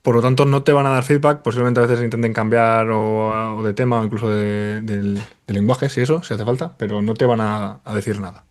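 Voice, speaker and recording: A man's voice: fairly low pitch, steady tone, brisk, hardly any pauses. A man on a casual podcast panel, explaining a point with examples.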